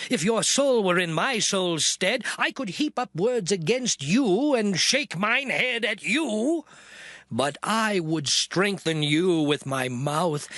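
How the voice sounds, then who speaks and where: A man's voice: sits high, fairly bright tone, no room sound, studio quality, full character voice. An actor playing an anguished, suffering man lamenting in a dramatized Bible audio drama, declaiming mournfully in old King James English. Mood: bitter, reproachful. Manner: declamatory, mournful, sweeping delivery with long pauses. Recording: music behind the voice. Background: dramatic music.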